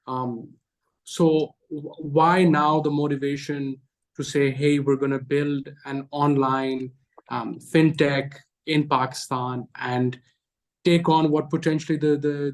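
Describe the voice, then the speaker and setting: Male panel host, slightly high and monotone, halting questioner. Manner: halting, repeats words and restarts often. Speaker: panel moderator. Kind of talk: moderating a panel discussion.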